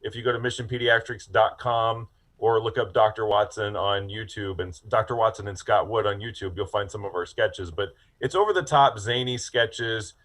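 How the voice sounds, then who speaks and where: A man's voice: on the low side, fairly bright tone. A man in his forties from Ohio, a guest in an interview, chatty and self-amused, rambling through his answers. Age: 40s. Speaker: film director guest. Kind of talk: casual interview chat.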